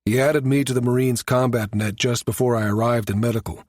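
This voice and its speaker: Man acting a firm, measured security chief. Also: mid-range voice, steady tone, darkish tone, no echo, studio quality, natural voice. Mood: measured, conceding. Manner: measured, matter-of-fact, authoritative. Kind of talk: audiobook character dialogue.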